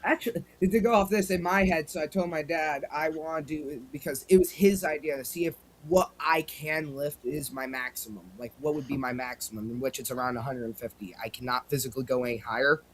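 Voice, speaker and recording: A man's voice: on the high side, fairly quick, hardly any pauses. A young man chatting on a fan podcast, rambling with lots of restarts. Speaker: podcast co-host. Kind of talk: casual chat.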